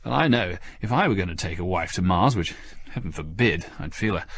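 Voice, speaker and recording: A man's voice: low-pitched, expressive, a little fast. A man playing a stern, irritable spaceship captain with a dry, curt, sarcastic manner, in a sci-fi story reading. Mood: wry.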